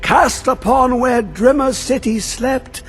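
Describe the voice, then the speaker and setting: A man voicing a mad, obsessed old male scholar who talks in riddles and swings between high and low. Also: takes it slowly, fairly bright tone, exaggerated voice. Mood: cryptic. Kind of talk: video game voice acting. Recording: game audio with faint music.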